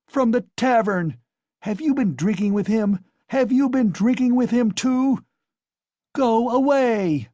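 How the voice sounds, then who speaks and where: A man's voice: extremely high pitch, slow pace, mellow, dry recording, pitched above the performer's own voice. A man voicing a desperate, frantic poor mother who screams accusations. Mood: frantic screaming.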